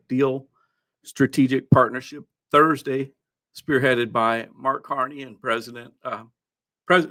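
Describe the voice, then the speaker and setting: A man hosting a news countdown, part reading and part ad-libbing his commentary in a halting way, with wry asides. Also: mid-range voice, a bit bright. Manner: halting, with frequent throat clearing and wry asides.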